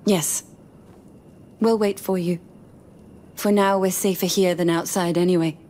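A woman's voice: on the low side, fairly even intonation, a little slow, warm tone, natural voice. A woman playing a caring, composed, thoughtful mother in a video game scene. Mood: reassuring. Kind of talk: video game cutscene acting.

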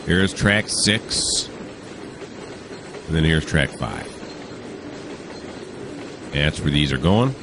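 Casual gaming host, man, deep voice from a cold, rambling and self-correcting, noisy home mic. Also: expressive, drawn-out words, long pauses. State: has a cold, voice deepened. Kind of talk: casual train simulator commentary.

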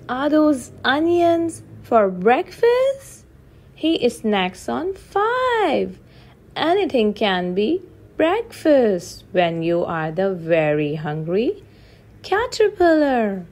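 A woman reading a children's picture book aloud in a deliberate, sing-song way, counting and asking questions. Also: a little high, a little mellow.

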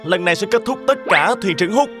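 Man as a high-pitched, brave boy hero. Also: animated, fast talker, music in the background. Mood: urgent, resolute. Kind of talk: cartoon dub.

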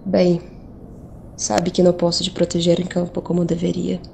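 A woman playing a creaky, flat-voiced mystic. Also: on the low side, moderate pace, a little hiss or hum. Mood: cold. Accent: Brazilian Portuguese. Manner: blunt and probing. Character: cold, guarded woman mystic of waning power. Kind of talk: audio drama acting.

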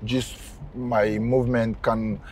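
A man's voice: medium pitch, slow pace, slight background noise. Laid-back young man chatting in a car. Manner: relaxed, laughs often, simple phrasing. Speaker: footballer guest. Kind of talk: casual chat. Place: inside a moving car.

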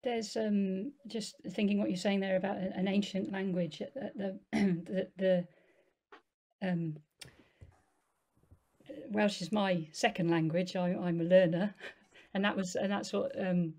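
A woman's voice: medium pitch, fairly even intonation, a little slow, long pauses, warm tone. A middle-aged woman hosting an online seminar over a video call, speaking hesitantly with many fillers. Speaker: seminar host.

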